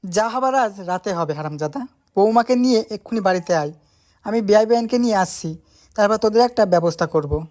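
Man putting on a loud, high-pitched angry father. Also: animated, a little fast. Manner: loud, scolding. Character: stern, scolding middle-aged father and schoolmaster. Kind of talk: acted audio story dialogue.

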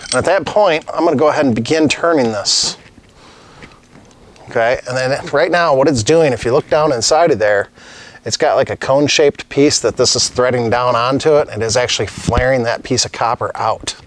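A man's voice: medium pitch, brisk, a little hiss or hum. A middle-aged master plumber giving a casual, practical tutorial on a lapel mic while he works with tools at a desk. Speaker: master plumber teaching on his channel. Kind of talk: hands-on tutorial demonstration. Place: indoor studio set at a desk.

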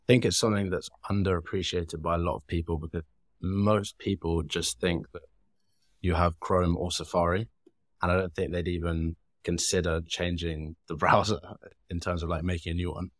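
A young man hosts a casual interview over a video call. His voice is low and dry with no room echo. He asks questions quickly and with curiosity. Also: little pitch movement. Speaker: podcast host.

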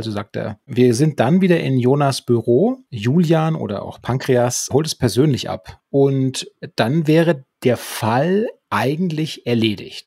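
A man with a husky, gravelly voice casually retelling a story as a podcast co-host at a close microphone. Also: medium pitch, darkish tone, no echo. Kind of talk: conversational recap of a radio play. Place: small room at home.

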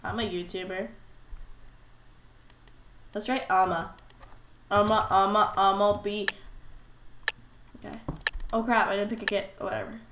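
A woman's voice: medium pitch, fairly even intonation, a little slow, long pauses. A teenage girl chatting casually while playing a game, rambling with lots of fillers. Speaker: gameplay commentator. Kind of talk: casual gameplay chat. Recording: echoey room, faint hiss. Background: keyboard clicks and faint noise.

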